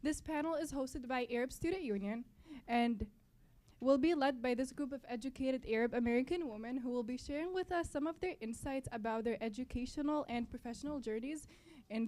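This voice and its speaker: Young woman, Palestinian-born, native Arabic speaker, slightly high voice. Student club speaker addressing an event, a little hesitant. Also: darkish tone. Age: young adult. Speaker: student club board member giving introduction. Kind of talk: welcome speech to an audience. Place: college library event.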